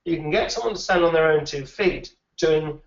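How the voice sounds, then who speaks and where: A man's voice: a little high, moderate pace. A man who trains recruiters answers interview questions in a thoughtful way, often repeating words as he thinks. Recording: dull, roomy, a little distant.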